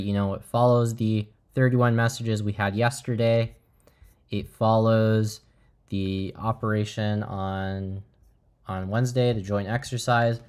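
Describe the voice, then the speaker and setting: Low-voiced man, casual livestream host. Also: a little mellow, clear, resonant tone. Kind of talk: casual live commentary.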